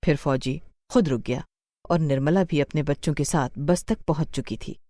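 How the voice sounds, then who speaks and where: A woman's voice: low voice, moderate pace, mellow. A woman narrating a scripted horror story.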